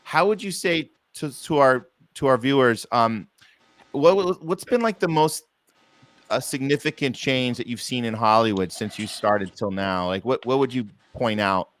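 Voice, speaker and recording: A man's voice: mid-range voice, expressive, normal speed, fairly bright tone, no echo. Man co-hosting, close dry sound. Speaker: show co-host. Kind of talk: casual interviewing.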